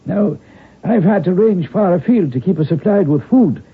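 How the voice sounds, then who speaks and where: A man's voice: high-pitched, animated, moderate pace, breathy edge, exaggerated voice. A man playing a loyal, wily Irish manservant, deferential and folksy, in a radio drama. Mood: humble, persuasive. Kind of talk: 1970s radio drama acting. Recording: tinny band-limited radio broadcast.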